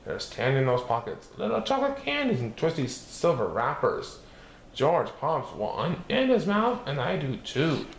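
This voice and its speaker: Animated man reading in a roomy echo. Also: fairly high pitch, normal speed. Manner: expressive, sing-song, plays with repetition. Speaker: storyteller, book reader. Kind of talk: animated picture-book read-aloud. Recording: roomy echo with faint background noise.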